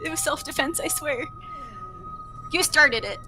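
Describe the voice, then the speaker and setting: A woman's voice: sits high, huge pitch swings, measured pace, sharp tone, soft background music. A woman in casual conversation while playing a video game, very informal, dramatically acting out her own reactions. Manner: informal, frequent "like", quotes herself dramatically. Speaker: voice actor being interviewed.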